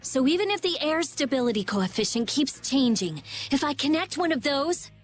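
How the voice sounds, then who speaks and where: A woman voicing a brainy, blunt, excitable teenage girl inventor in a video game. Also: high voice, normal speed, soft background music. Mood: excited.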